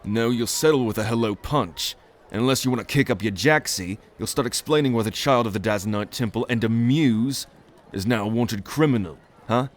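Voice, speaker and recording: A man's voice: medium pitch, brisk, close to an everyday voice. A man playing a blunt, weary mentor figure in a fantasy audio drama, dry and curt, often sighing. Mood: gruff. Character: stern older male mentor, blunt and weary. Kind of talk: fantasy audio roleplay acting.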